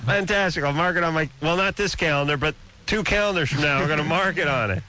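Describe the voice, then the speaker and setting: A man's voice: fairly high pitch, quick pace, pushed and bright. A young man hosting a call-in radio show, chatting casually with callers and cracking sarcastic jokes. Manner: sarcastic, joking asides.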